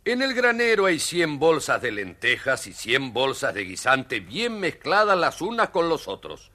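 Rey severo de voz muy penetrante. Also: male voice, fairly high pitch, huge pitch swings, a little slow, bright, forceful tone, heavily acted. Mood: commanding. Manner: authoritative, emphatic. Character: stern, commanding king setting trials. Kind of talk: children's audio story acting.

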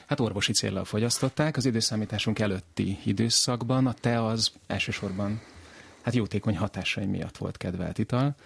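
Low, husky male expert. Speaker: expert studio guest. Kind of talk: explanatory interview talk. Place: radio studio.

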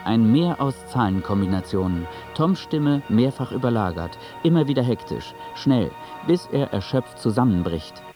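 Guy doing hectic radio drama narrator, animated, clipped bits, faint music behind. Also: medium pitch, moderate pace, hardly any pauses, lightly acted. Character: hectic narrator reciting fragmented script directions.

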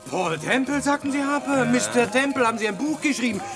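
A man's voice: extremely high pitch, animated, fairly quick. An actor in a 1960s radio drama playing a fussy, gushing man, effusive in every line. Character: gushing, excitable man who loves crime novels. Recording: old radio play with music behind.

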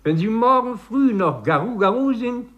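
Blustering, animated office colleague. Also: male voice, very high-pitched, moderate pace, dull audio. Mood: amused mockery. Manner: emphatic, challenging. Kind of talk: 1950s German radio play acting. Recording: old radio recording with music.

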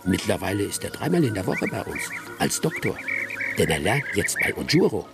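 A gravelly old village hunter. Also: male voice, sits low, sing-song, brisk, over loud music, exaggerated voice. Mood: musing. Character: wry old village hunter, male storyteller. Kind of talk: radio drama narration.

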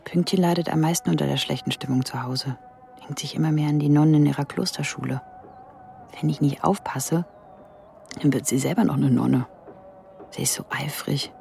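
An actress playing a wry, rebellious teenage daughter, confiding dryly and candidly in a radio drama monologue. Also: female voice, deep voice, normal speed, creaky, long pauses, darkish tone, faint music behind.

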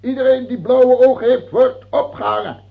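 Capricious tyrant king in radio drama: gravelly, animated, taunting and imperious, with a narrow, muffled old-recording sound. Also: very high-pitched, moderate pace, background noise. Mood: ranting, domineering. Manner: imperious, taunting, hesitating then outbursting. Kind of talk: theatrical radio drama acting.

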